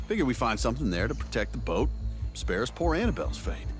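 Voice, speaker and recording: A man's voice: mid-range voice, quick pace, natural voice. Male actor, middle-aged wisecracking fixer, jovial, teasing, swooping intonation, cinematic game dialogue, background music. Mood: eager, scheming. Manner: teasing, folksy, expressive. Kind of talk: cinematic video game acting.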